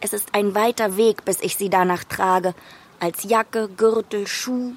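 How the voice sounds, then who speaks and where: A woman's voice: medium pitch, fairly even intonation, a little slow, close to an everyday voice. A woman playing a whiny, impatient young fairy-tale maiden in a children's radio play, pouty and theatrical. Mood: reciting.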